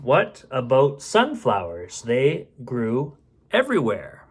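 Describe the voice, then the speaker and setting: A man's voice: medium pitch, wildly swooping pitch, slow, breathy edge. A man reading a storybook aloud expressively, with casual muttered asides as he goes. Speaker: bedtime story reader.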